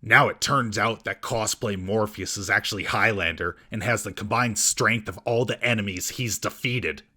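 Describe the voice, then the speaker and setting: Casting: male comedy reviewer, mocking delivery, lowish and raspy, reading a scripted recap. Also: normal speed, sharp tone. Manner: sarcastic, mocking. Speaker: comedic film reviewer and host.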